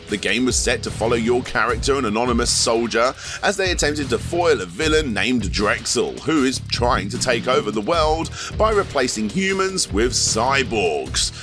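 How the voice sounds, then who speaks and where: Male host, scripted narration, wry asides, mid pitch, faint music behind.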